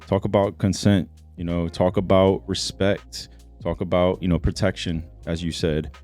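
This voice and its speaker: A man's voice: low-pitched, little pitch movement, moderate pace, darkish tone, faint music behind. A man co-hosting a podcast, laid-back and slangy in casual talk, often restarting his sentences. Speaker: podcast co-host. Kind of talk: casual conversation.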